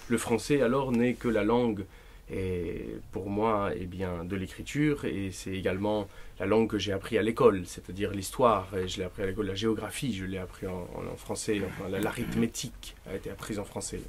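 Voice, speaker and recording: Low-voiced man, thoughtful author talk. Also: slight background noise. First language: Spanish. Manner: thoughtful, restarts often, slow and deliberate. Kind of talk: bookshop talk, reading and answering. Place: bookshop event.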